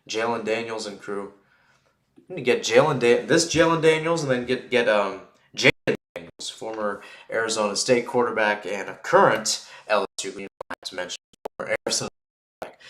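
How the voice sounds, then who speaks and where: A man's voice: mid-range voice, a bit bright, echoey room. A man calling live American football play-by-play for radio, chatting through asides and swelling on big plays.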